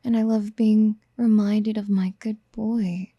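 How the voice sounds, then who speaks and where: A woman playing a doting, possessive, motherly yandere in an ASMR roleplay, speaking in soft, breathy whispers with tender coaxing, close to the mic. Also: medium pitch, fairly even intonation, slow pace, dry recording, lo-fi sound. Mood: adoring.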